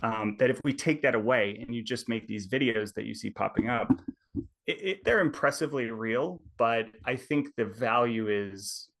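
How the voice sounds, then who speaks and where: A man's voice: mid-range voice, moderate pace, creaky, fairly bright tone. A man being interviewed as a tech executive, explaining at length over a video call and often checking in with "right?". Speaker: tech company executive guest. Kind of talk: conversational explaining.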